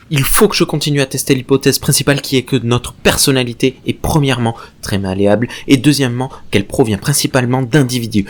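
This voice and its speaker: A man's voice: medium pitch, close to an everyday voice. An impassioned philosopher-researcher acted by a man for a science fiction audio drama. His voice sits in a middle register. He holds forth at length like a professor lost in his own theories. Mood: agitated, impassioned. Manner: long-winded, professorial, impassioned. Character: obsessive, rambling male philosopher-researcher, devoted friend.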